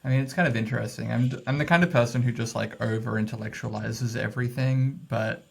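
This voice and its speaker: A man's voice: mid-range voice, steady tone, quick pace, vocal fry, husky. A man talking as a podcast guest, loose and casual, full of fillers and laughs as he thinks aloud. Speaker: guest, messaging strategist. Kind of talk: casual interview chat.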